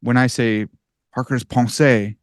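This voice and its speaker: A man in his thirties with a nasal Chicago accent talks in a loose, bro-ish, self-deprecating way. His pitch sits in an ordinary middle range. The recording is dry and close, with no room sound. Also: steady tone, a little slow, no room sound. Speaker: podcast guest, philosopher and writer. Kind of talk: casual conversation.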